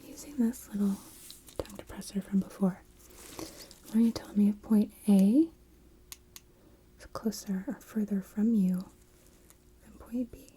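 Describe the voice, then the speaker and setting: Softly whispering, mellow ASMR nurse played by a woman. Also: medium pitch, fairly even intonation, a little slow, long pauses, slight background noise, lightly acted. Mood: soothing, attentive. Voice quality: soft, breathy, mostly whispered. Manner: soothing, reassuring, step-by-step instructions. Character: gentle, unhurried female night-shift hospital nurse. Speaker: ASMR performer playing a nurse. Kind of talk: ASMR medical roleplay, addressing the listener as patient.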